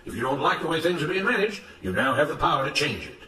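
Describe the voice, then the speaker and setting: Guy doing a preachy smooth-talking plantation boss giving a big speech, pitched up high, storybook style, roomy sound. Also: big pitch swings, quick pace, sharp tone, far from the mic, a little hiss or hum. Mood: announcing. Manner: declamatory, oratorical, emphatic. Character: smooth-talking, self-righteous plantation overseer making rousing speeches. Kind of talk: dramatised storybook narration.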